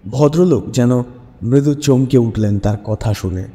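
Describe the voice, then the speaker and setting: A man's voice: medium pitch, measured pace, dark tone, husky. A man narrating a scripted radio story as its narrator. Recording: long reverb, hazy sound.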